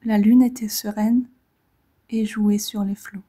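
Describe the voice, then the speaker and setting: A woman reading poetry in a gentle, measured, relaxing manner, with a soft breathy voice that often fades toward a whisper and short phrases set apart by pauses. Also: medium pitch, flat delivery. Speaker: poetry reader.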